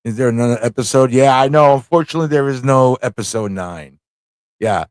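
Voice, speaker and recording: A man's voice: mid-range voice, a little fast, smooth, no room sound, clean studio sound. A man hosting a casual live stream, thinking aloud in an easygoing, informal way as he chats, reads aloud and reacts.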